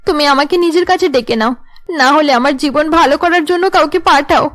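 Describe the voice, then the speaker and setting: A woman plays a long-suffering young mother in a cartoon family drama. Her high voice is sorrowful and pleading, aggrieved at how she is treated. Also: a little fast, fairly bright tone. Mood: despairing. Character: put-upon, sorrowful young wife and mother.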